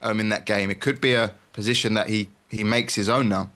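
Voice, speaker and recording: A man with a slightly low voice talks tactics on a football panel. His style is conversational and analytical, like a pundit explaining to colleagues. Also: fairly even intonation, a little fast, fairly bright tone.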